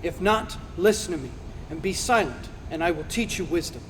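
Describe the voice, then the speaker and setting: Man acting as earnest scripture counselor, high male voice, declamatory, preaching, long reverb, faint noise. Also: lively intonation, moderate pace, a bit bright. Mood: insistent. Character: Elihu, earnest male counselor rebuking and lecturing Job. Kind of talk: dramatized scripture reading.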